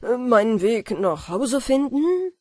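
A polite fisherman , as a woman reading a tale puts him on, asks his questions slowly and deferentially. His astonishment colours each careful phrase. The sound is dry and close. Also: a little high, very animated, darkish tone, breathy edge, no echo, full character voice. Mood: astonished. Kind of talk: storybook character voice.

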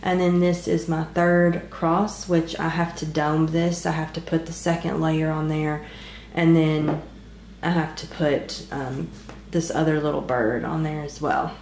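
Congested low-voiced woman in an echoey room. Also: measured pace, a little hiss or hum. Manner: relaxed, rambling, many "um"s. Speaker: resin craft vlogger. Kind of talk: casual show-and-tell narration. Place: home craft table.

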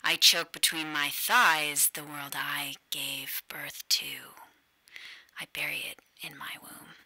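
A woman's voice: low voice, flat delivery, takes it slowly, sharp tone, husky, no echo, full character voice, low-quality recording. A woman acting a vengeful, hate-filled tragic heroine in a radio play, declaiming with solemn menace. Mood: cold vengeance. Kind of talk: dramatic radio play monologue.

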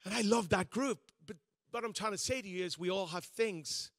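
A man's voice: high voice, normal speed, gravelly, dry recording, clean studio sound. A man, a pastor giving a conversational, self-deprecating sermon with frequent restarts. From Northern Ireland.

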